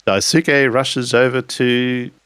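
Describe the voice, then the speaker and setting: A man improvising narration for a tabletop roleplaying game, speaking in a halting, deliberate way. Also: mid-range voice, fairly even intonation, fairly bright tone, clear, resonant tone, no room sound.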